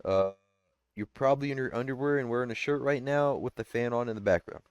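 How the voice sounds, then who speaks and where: A man trading mocking, deadpan taunts on a voice call. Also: medium pitch, quick pace, clean tone, dry recording, lo-fi sound. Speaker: streamer. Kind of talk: trolling banter on a voice call.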